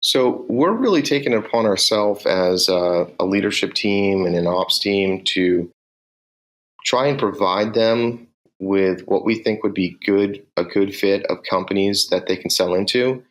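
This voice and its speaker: This is a man with a Boston accent and a very low voice. He talks through how his sales team works, directly and in measured steps, the way a manager answers an interviewer. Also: poor audio. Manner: direct, measured, explains step by step.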